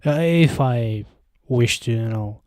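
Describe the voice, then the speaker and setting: Slow, mellow young man with Bulgarian accent. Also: medium pitch, no room sound, clean studio sound. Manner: rambling, hesitant, many fillers and restarts. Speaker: live streamer. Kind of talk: casual live stream chat. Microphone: handheld microphone close to mouth.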